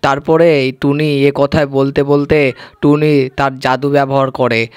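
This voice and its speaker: A man's voice: medium pitch, level tone, unhurried, smooth, dry recording. A man narrating an amateur cartoon story informally, with colloquial, repetitive phrasing.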